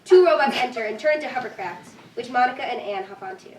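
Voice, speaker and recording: A woman's voice: fairly high pitch, lively intonation, fast talker. A woman reading stage directions as scripted narration at a live staged reading. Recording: echoey room, distant mic, faint noise.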